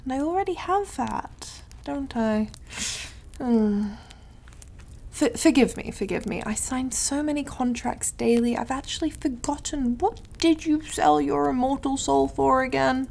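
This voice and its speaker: Woman acting a slow, silky, mocking devil. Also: sits high, vocal fry, darkish tone. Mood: smug, taunting. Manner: theatrical, drawling, over-polite, taunting. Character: suave, mocking, condescending devil who deals in soul contracts. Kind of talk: ASMR roleplay monologue.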